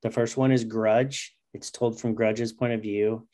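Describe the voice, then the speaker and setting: A man's voice: on the low side, level tone, unhurried, mellow. A man around his forties chatting casually and enthusiastically as an interview guest on a video call. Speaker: comic writer and interview guest. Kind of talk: casual chat.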